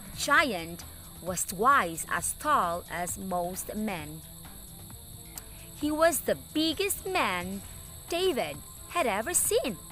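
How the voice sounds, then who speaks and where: A woman storyteller reading a scripted children's story with deliberate, dramatic emphasis. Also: mid-range voice, sharp tone. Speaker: storyteller, teacher.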